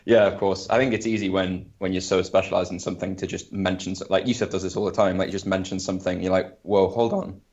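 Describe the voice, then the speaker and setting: A man's voice: low-pitched, steady tone, fast, hardly any pauses, darkish tone, lo-fi sound. A man co-hosting a casual podcast, asking curious, chatty questions. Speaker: podcast co-host.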